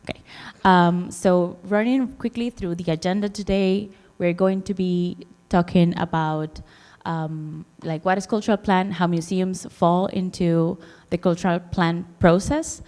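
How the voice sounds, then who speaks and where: A lowish, mellow-voiced woman from the Dominican Republic presents at a conference, pausing often with fillers and restarts. Her voice is smooth and resonant, with a long reverb from the hall around her. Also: fairly even intonation. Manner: hesitant, many fillers and restarts.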